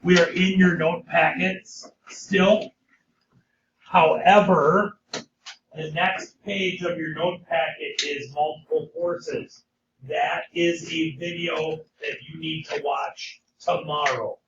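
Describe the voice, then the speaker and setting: A hoarse, middle-aged man teaches a class, often pausing to ask "okay?" His voice comes from across an echoing classroom, picked up by a distant camera. The sound is dull and muffled, with a faint hum underneath. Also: on the high side, steady tone. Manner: frequent "okay?" check-ins with students. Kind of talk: classroom lecture.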